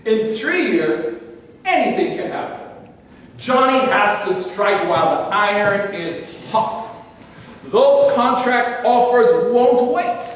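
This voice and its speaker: A pompous, blustering sports agent, played by a man with a high voice and an unhurried, weighty delivery. He sounds indignant and pushy. The recording has a long echo from far off in a large hall, with faint noise behind. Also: animated, exaggerated voice. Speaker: actor in a staged reading. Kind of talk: staged play reading. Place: theater stage.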